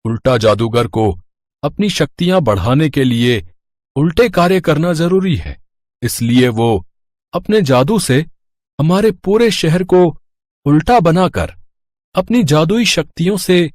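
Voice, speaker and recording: A man's voice: on the high side, expressive, unhurried, darkish tone, no echo, clean studio sound. A man voicing a worried, kindly older merchant and town elder in a children's cartoon story, explaining earnestly in a fatherly way.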